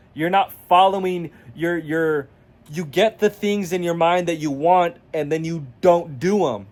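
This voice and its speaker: A man's voice: a little high, darkish tone. A nineteen-year-old man ranting to camera as a self-improvement vlogger, blunt, profane and confrontational with the viewer. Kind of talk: motivational rant to camera.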